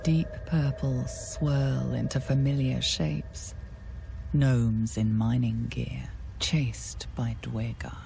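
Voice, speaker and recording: A woman narrating a fantasy video game in a measured, hushed, dramatic storytelling style. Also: a bass voice, level tone, creaky, music in the background, full character voice. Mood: hushed, grave.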